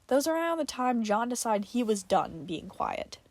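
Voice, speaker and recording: A woman's voice: fairly high pitch, very animated, normal speed, no echo. A woman reading fiction aloud as a podfic narrator, in a dramatic storytelling style.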